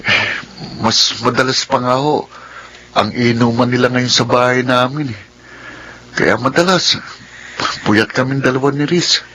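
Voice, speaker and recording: A respectful young grandson in a radio drama, worried and earnest. His mid-pitched voice is forceful and bright, over faint noise. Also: a little slow, pauses often, poor audio. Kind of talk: Filipino radio drama acting.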